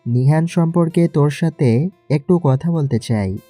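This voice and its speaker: A man's voice: a little high, measured pace, warm tone, soft background music. A man playing a suspicious, protective elder brother in an audio story, speaking in a stern, questioning way. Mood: firm. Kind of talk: Bengali audio story acting.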